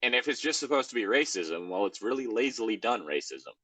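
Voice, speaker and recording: A man's voice: on the low side, big pitch swings, a little fast, pushed and bright, slightly rough, no room sound. A man co-hosting a show in casual chat, rambling wryly as he reacts to a story. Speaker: co-host of a fan fiction reading show.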